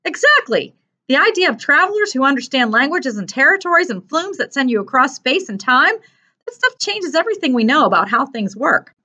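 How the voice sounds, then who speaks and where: An anxious, awkward teenage boy performed by a woman narrator, pitched up from her usual voice. He is earnest and nervous in this storybook reading, and the recording sounds a little dull. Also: sing-song, a little fast, bright, forceful tone, full character voice. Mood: earnest, wondering. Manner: anxious, stammering when upset.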